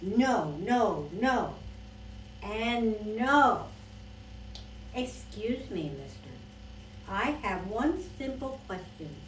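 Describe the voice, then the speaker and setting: A woman's voice: medium pitch, sing-song, takes it slowly, reverberant, distant mic, slight background noise, rough recording. A woman voicing a stubborn, plain-spoken little girl in a storybook reading, firm and insistent. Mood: emphatic.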